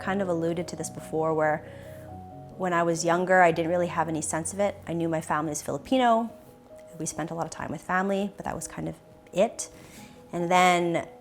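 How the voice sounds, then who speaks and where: Thoughtful young Canadian woman, low voice. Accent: Canadian English. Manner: thoughtful, hesitant, with many pauses and restarts. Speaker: interviewee. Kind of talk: reflective personal interview.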